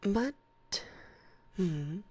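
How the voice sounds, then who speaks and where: A woman's voice: medium pitch, lively intonation, dark tone, breathy edge, full character voice. A woman playing a teasing, domineering forest witch in an ASMR roleplay, speaking breathily and close to a binaural microphone, slipping into whispers. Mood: coaxing, playful. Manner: teasing, condescending, slow and deliberate, often slipping into whispers.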